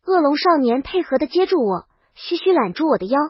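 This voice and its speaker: A woman's voice: high voice, big pitch swings, a little fast, no echo, highs cut off. A woman reading a comic first-person story as a scripted audiobook narration.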